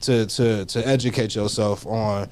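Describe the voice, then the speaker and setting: A man's voice: on the low side, steady tone, unhurried, darkish tone, smooth. Blunt, heated man on a panel. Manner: blunt, heated, repeats himself, drops into slang. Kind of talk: casual panel discussion. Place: community media studio.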